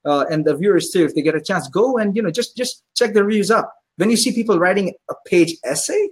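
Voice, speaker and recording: Husky-voiced male restaurant owner, guest on an interview podcast, upbeat storyteller. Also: high-pitched, quick pace. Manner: enthusiastic storyteller, acts out customers' voices. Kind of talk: casual interview chat.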